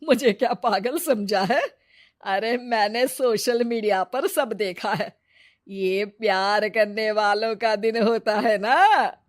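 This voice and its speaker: A woman's voice: medium pitch, slow, close to an everyday voice. A woman playing a bossy, loving old grandmother in a family story, scolding in a playful, folksy way. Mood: teasing.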